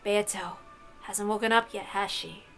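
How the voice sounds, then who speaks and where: A woman voicing an eager, excitable young man. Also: high-pitched, unhurried, gravelly, soft background music, full character voice, low-quality recording. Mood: curious, hopeful. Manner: eager, informal, emphatic. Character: excitable, confident young man turned game master, fond and eager. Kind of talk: visual novel character reading.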